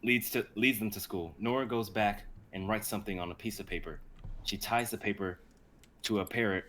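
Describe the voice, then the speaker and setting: A man's voice: lowish voice, fairly even intonation, moderate pace, fairly bright tone. A young man giving a dramatic narration of an amateur script. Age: young adult. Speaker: narrator, stream performer.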